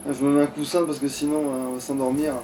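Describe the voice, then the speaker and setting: A man's voice: mid-range voice, little pitch movement, quick pace, over music, poor audio. A man giving casual, sweary, grumbling commentary while playing a video game with a friend. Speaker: let's player, at the controls. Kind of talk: casual gameplay commentary with a co-player.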